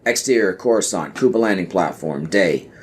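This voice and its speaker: A man's voice: low voice, lively intonation, normal speed, slight background noise. A man reading stage directions as the narrator of a scripted audio play.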